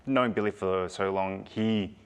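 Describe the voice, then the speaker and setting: Male co-host, lowish voice, chatty and teasing. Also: fairly even intonation, moderate pace, clean tone. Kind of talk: broadcast interview hosting.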